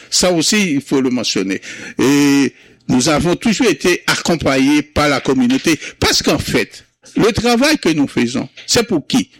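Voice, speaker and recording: A man whose mother tongue is Creole, recalling in an interview how he founded a community Creole month, with many pauses, fillers and restarts. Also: fairly high pitch, fairly bright tone, no echo. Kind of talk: interview recollection.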